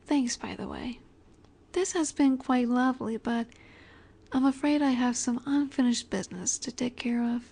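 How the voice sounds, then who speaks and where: A woman playing an ageless, possessive vampire in a romantic audio roleplay, speaking softly and breathily, often near a whisper, with a wistful, elegant, gently commanding manner. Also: fairly high pitch, gravelly. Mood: gently commanding, fond. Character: ageless female vampire boss's daughter, wistful, tender, possessive.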